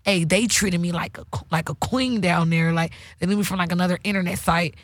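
A woman from California with a raspy voice, hosting a casual podcast chat and telling loose, slangy stories with many restarts. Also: on the low side, a little fast, no room sound. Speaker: podcast host.

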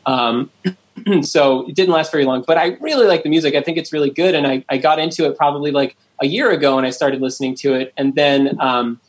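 A man co-hosting a casual podcast chat, speaking in a relaxed way with plenty of ums and likes. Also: on the high side, brisk. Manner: chatty, many ums and likes. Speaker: podcast co-host.

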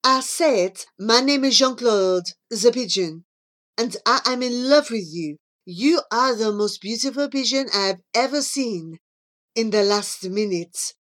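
A woman puts on a lovesick male pigeon from a children's story. He has a French accent and woos in grand, florid phrases. The delivery is slow and swoops widely in pitch. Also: animated, fairly bright tone. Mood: smitten. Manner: florid, grandly romantic, easily distracted. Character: amorous, dramatic French male pigeon, flowery suitor.

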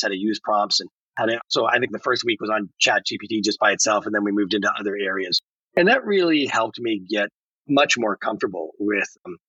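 A man's voice: fairly low pitch, bright, forceful tone, breathy edge, dry recording, highs cut off. A man speaking conversationally as a guest in a podcast interview.

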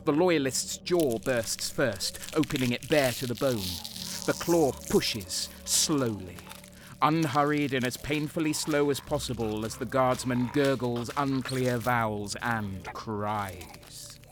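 An actor playing a sadistic, cold-hearted traitor soldier who narrates his own story in a measured, intense audio drama style. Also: mid-range voice, noisy background, natural voice. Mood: grim, intense.

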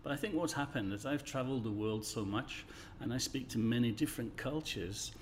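A man in his sixties from the English Midlands with a neutralised accent, a guest telling stories in a friendly, measured way in an office interview. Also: medium pitch, slight background noise. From England, Midlands. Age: 60s. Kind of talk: conversational interview storytelling.

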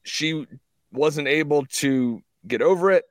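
Man, podcast guest, slightly high voice, dry close sound, earnest, defensive, repetitive phrasing. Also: slow pace, no echo. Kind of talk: heated conversational storytelling and debate.

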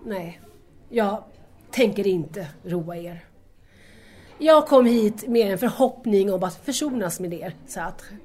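A woman's voice: medium pitch, animated, a little mellow, natural voice. A woman playing a hurt, anxious young woman in an audio drama, speaking earnestly and formally to estranged lovers. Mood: grieving, disappointed. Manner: earnest, wounded, formal address.

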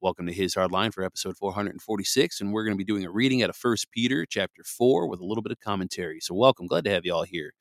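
Male podcast host from Michigan, congested from a cold, lowish smooth voice, dry close mic, filler-heavy chat, slow deliberate readings. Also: animated, no room sound. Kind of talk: scripture reading with casual commentary.

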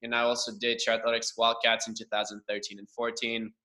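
A young man from Romania, Romanian his first language, talks through his past in a relaxed interview. His voice is strikingly bright and forward, and his answers run long and earnest. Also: medium pitch, a little fast. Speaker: guest, cheer assistant coach. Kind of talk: casual interview over a livestream.

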